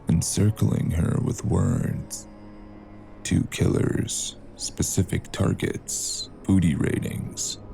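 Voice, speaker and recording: A man performs a brooding noir narrator with a deep, extremely gravelly voice. He delivers scripted lines slowly and with measured suspense over faint music. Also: warm tone, heavily acted.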